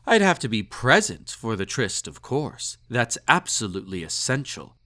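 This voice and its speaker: A man's voice: medium pitch, very animated, normal speed, sharp tone. A man playing a snobbish, possessive emperor in an intimate roleplay audio drama, with pompous, condescending, teasing speech and ornate formal phrasing. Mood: tipsy, teasing. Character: snobbish, possessive male emperor, indulgent and slightly tipsy.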